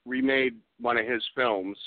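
A man speaking as an interview guest, recalling things casually and correcting himself as he goes, a little haltingly. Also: mid-range voice, fairly even intonation, no room sound. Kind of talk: casual conversational recollection. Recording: dry phone-like line.

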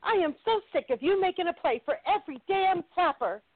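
A woman's voice: extremely high pitch, animated, fairly quick, no echo. A woman playing a jealous, angry nightclub singer in a 1920s radio play, scolding and indignant. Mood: jealous fury. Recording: tinny, dry narrow-band audio.

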